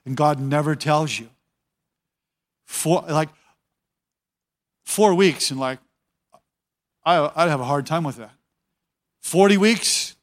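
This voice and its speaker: A man preaching a sermon as a pastor, talking conversationally, repeating his words and often telling listeners to watch. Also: a little high.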